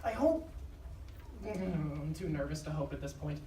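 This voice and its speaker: Performer as uneasy, intimate narrator, casual and confiding, mid-pitched; roomy live stage, slightly off-mic. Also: expressive, measured pace, far from the mic, noisy background, natural voice. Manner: informal, confiding, sighing. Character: anxious, confiding storyteller sharing found diary notes. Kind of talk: live audio drama narration. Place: convention live show stage.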